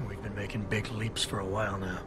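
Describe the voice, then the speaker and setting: An actor playing a stoic, gruff augmented security agent in a video game, terse and deadpan. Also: male voice, on the low side, a little fast, background noise, close to an everyday voice. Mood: guarded, dry. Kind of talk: video game cutscene acting.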